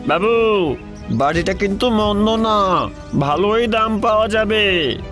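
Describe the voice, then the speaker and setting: Fawning villain's henchman for a cartoon, high, drawling-slow male voice put on, faint music. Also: animated, a bit bright, heavily acted. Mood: sly, greedy. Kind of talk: cartoon dialogue.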